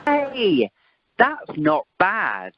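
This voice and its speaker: A woman's voice: mid-range voice, wildly swooping pitch, extremely slow, a little hiss or hum, heavily acted. A woman playing a cheeky, cheerful male airport worker in an amateur radio comedy. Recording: dull, dry telephone conference line.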